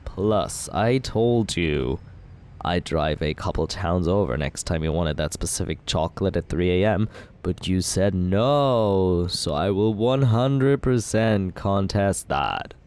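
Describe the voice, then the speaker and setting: A man's voice: low-pitched, expressive, slow, a little mellow. A young man playing a cocky male villain who courts the listener in an ASMR roleplay, teasing and laid-back as he justifies himself.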